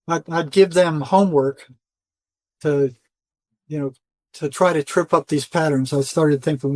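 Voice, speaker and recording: An older man speaks in a somewhat high-pitched voice. He tells stories in a loose, rambling, colloquial way, as a guest in conversation. The sound is dry, with very little room echo. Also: darkish tone. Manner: rambling, colloquial, acts out quoted dialogue. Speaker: interview guest, retired psychotherapist. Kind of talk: conversational storytelling, casual interview.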